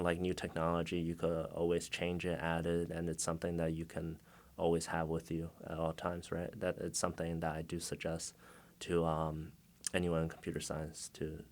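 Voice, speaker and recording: Low-voiced young man from China. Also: moderate pace. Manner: relaxed, many fillers, laughs often. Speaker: guest. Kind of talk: casual interview answers.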